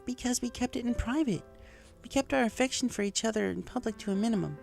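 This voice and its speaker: A woman voicing a calm, soft-spoken mare pony who speaks earnestly and with measured care in a story. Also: fairly high pitch, gravelly, pitched above the performer's own voice. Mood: calm, frank. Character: calm, gently cautioning mare pony recovering from injury. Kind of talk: fan fiction character dialogue. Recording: music behind the voice.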